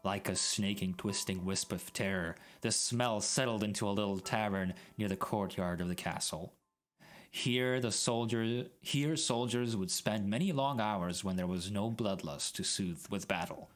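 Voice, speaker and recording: A man's voice: fairly low pitch, measured pace. A man doing a dramatic storybook reading in a theatrical, mock-grand way. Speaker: streamer reading a story aloud. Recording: background music mixed under voice.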